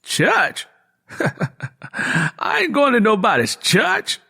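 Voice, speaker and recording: A man voicing a poor, defiant young rural laborer with a quick temper and folksy country speech in an audiobook. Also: high voice, wildly swooping pitch, vocal fry, bright, forceful tone, husky, voice pushed up, heavily acted. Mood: cocky, dismissive. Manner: folksy rural vernacular, double negatives. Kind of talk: audiobook character dialogue.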